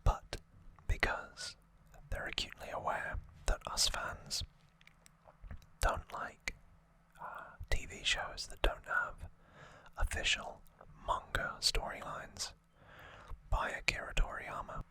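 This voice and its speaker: Very slow whispering ASMR voice. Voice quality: breathy unvoiced whisper. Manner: slow, hushed, measured delivery. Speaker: ASMR host. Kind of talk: whispered ASMR trivia summary.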